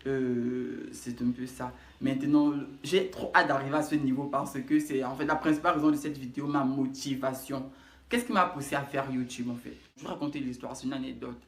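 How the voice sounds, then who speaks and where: A man's voice: medium pitch, steady tone, fairly quick. A young man from Cameroon with a Cameroonian French accent, introducing himself in a casual vlog, rambling and often repeating or correcting himself. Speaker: YouTuber. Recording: echoey room.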